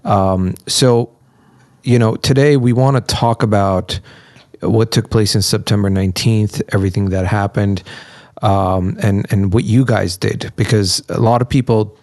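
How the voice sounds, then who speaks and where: Low-voiced man hosting an interview. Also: fairly even intonation, measured pace, darkish tone, no room sound, studio quality. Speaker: podcast host. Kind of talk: conversational interview.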